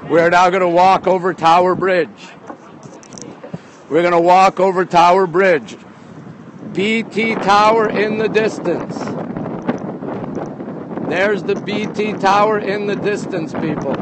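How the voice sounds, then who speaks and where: A man chats casually to live viewers in short, excitable bursts, repeating his phrases. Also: high voice, low-quality recording. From Montreal, Canada. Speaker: livestream host. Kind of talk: casual live walking tour chat. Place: outdoors on a city bridge by a river. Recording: windy outdoor phone, ambient noise. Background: wind and light outdoor noise.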